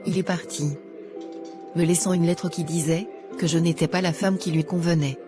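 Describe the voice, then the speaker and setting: A woman's voice: on the low side, steady tone, moderate pace, music in the background, close to an everyday voice. A woman voicing a devout, insecure single woman in her thirties, earnest and guarded, in a cartoon. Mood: doubtful.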